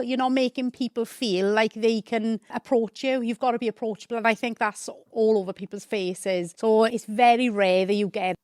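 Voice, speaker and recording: A woman's voice: fairly high pitch, no echo, studio quality. A middle-aged woman from the South Wales Valleys with a Valleys accent, a podcast guest talking earnestly in long stretches. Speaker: guest from a housing membership team. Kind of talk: casual conversational interview.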